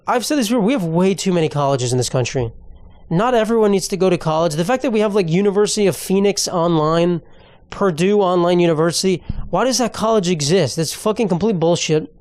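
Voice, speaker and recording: A man's voice: sits high, a little mellow, dry recording, studio quality. A man hosting a podcast alone, rambling and ranting in a casual, profane way. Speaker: podcast host. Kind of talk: casual solo podcast monologue.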